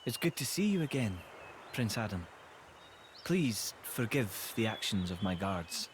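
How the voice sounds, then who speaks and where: An actor playing a gracious, dignified emperor in a video game cutscene, formal, measured and polite. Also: male voice, fairly low pitch, sing-song, a little hiss or hum. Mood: courteous.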